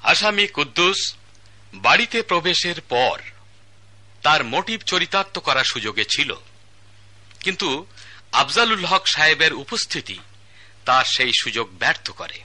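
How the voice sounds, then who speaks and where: An actor playing a stern magistrate who reads out the charges and the sentence in formal, old-fashioned court language. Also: male voice, a little high, sing-song, moderate pace, pauses often, bright, forceful tone, heavily acted. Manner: formal, archaic court diction, calls order. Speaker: judge. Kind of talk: Bangladeshi state radio drama acting.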